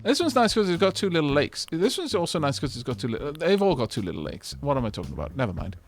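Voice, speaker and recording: A man streaming a game, bantering with chat in an animated, rambling, self-deprecating way, with faint music behind. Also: on the high side, fast. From Wales.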